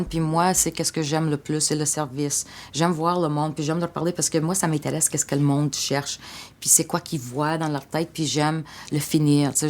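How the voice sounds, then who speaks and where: A middle-aged woman from Portugal, giving casual, hesitant interview answers full of fillers. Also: low voice. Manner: hesitant, many fillers and restarts. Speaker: local business owner. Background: music.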